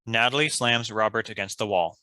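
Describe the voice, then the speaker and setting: Guy narrating script stage directions, very bright forceful tone, lowish pitch. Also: a little fast. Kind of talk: scripted narration for a table read.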